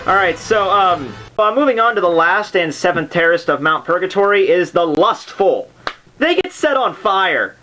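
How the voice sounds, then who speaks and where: A man's voice: high voice, lively intonation, normal speed, bright, forceful tone, low-quality recording. A man giving a casual, unscripted explanation as host, rambling and dropping jokey asides. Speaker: host of a literature summary series. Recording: music playing under the voice. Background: background soundtrack music.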